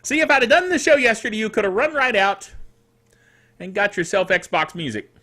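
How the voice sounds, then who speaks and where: A man hosting a casual tech news show in a chatty, jokey, digressive way, with a throat that is getting rough. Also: sits high, expressive, normal speed, pushed and bright. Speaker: technical director for radio stations. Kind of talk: casual scripted tech news commentary with asides.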